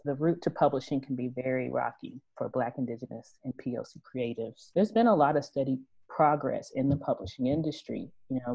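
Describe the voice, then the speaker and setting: Mellow-voiced woman moderating a video panel. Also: very deep voice, vocal fry, husky, no room sound, highs cut off. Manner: relaxed, conversational, with frequent fillers. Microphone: video call.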